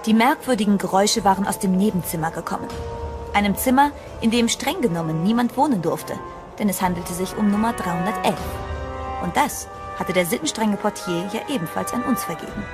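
A woman's voice: mid-range voice, lively intonation, fairly quick, close to an everyday voice. An actress playing a frightened young woman who narrates her ordeal in a horror audio drama, dramatic and suspenseful. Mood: tense, suspenseful. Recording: music behind the voice.

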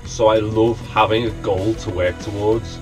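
A man's voice: lowish voice, fairly even intonation, moderate pace, over music. A man in his thirties with a Liverpool accent, chattily showing his camper van and explaining each feature as he points it out. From Liverpool, England. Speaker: van dweller giving a van tour. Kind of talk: casual vlog tour narration. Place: inside a small wooden camper van. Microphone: handheld action camera close up.

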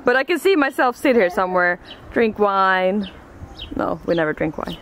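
A woman's voice: medium pitch, expressive, moderate pace, noisy background. A young woman with a Swedish accent chatting casually to her handheld camera as a vlogger. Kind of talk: casual vlog chat.

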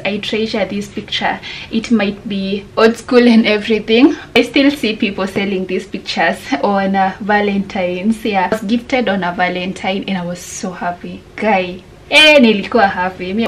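A woman's voice: medium pitch, slight background noise, rough recording. A young woman with a Kenyan accent chatting casually to her viewers in a home vlog, slipping Swahili words into her English. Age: young adult. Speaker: vlogger. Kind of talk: casual vlog chat to camera. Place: small home room.

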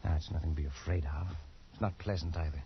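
An actor playing a haunted but defiant colonial adventurer, telling his tale with tense dramatic pauses in old radio style. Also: a bass voice, steady tone, breathy edge, exaggerated voice. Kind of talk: 1940s radio acting, dramatic first-person narration. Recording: dull old radio recording, faint music.

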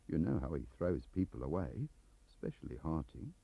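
A man's voice: a bass voice, sing-song, moderate pace, creaky, husky, dry recording, exaggerated voice. A man voicing an aggrieved, lonely middle-aged English clerk who rambles defensively, in an audiobook on a dull cassette recording.

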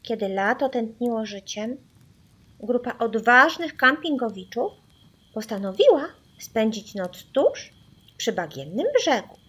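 A woman narrating a children's audiobook in a theatrical, suspenseful way with deliberate pauses. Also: on the high side, very animated, a bit bright, slightly rough. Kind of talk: dramatic scripted children's story narration.

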